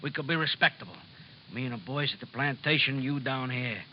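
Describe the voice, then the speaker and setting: A man playing a tough, loyal, hot-headed ex-gangster with rough, ungrammatical mob talk in an old-time radio drama. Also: mid-range voice, fairly quick, natural voice. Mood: bitter anger. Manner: uneducated mobster talk, ungrammatical. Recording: dull, noisy vintage radio recording.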